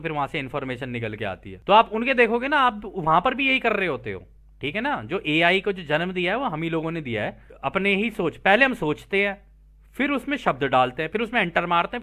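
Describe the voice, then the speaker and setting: A man's voice: high-pitched, very animated, quick pace, sharp tone. A man hosting an explainer, reading out a translated text and breaking off to explain it casually, often checking in with listeners. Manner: explanatory, addresses listeners directly with frequent check-in tags. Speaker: host and explainer.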